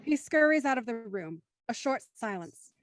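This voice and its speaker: A woman's voice: a little high, animated, normal speed, no echo, studio quality, close to an everyday voice. A woman narrating a scripted audio drama as its stage-direction narrator.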